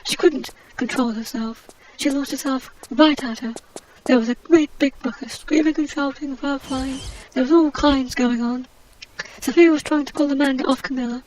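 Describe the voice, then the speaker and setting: A woman putting on a pampered, high-voiced English adventuress who moans with grand indignation about every slight. She speaks in a snooty, theatrical way, full of disdain. A faint hiss sits under the recording. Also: steady tone, moderate pace, darkish tone, natural voice, poor audio. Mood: gossipy. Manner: indignant, snobbish, theatrical complaining. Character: aggrieved posh English woman adventurer, snobbish and melodramatic. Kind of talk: comic monologue in character.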